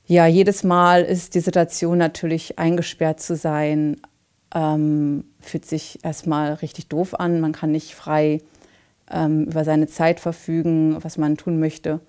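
A woman in her forties speaking in a recorded interview, measured and halting, with frequent fillers. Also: sits low, flat delivery, dark tone. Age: 40s. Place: dim indoor set.

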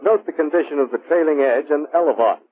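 An American man reading scripted instructional narration for a training film in a measured, clipped, instructive manner. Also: a little high, slightly rough. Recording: muffled, phone-like vintage military film soundtrack.

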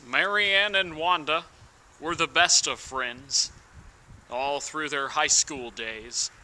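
A young man giving a mock-serious dramatic reading of song lyrics, deliberate and theatrical, with pauses. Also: a little high, bright, forceful tone, a little hiss or hum. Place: outdoors in snowy woods.

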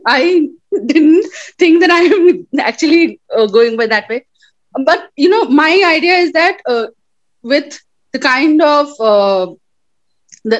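A woman moderating a discussion, speaking thoughtfully with many pauses on a video call. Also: a little high. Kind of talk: casual discussion. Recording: dull lo-fi video call audio.

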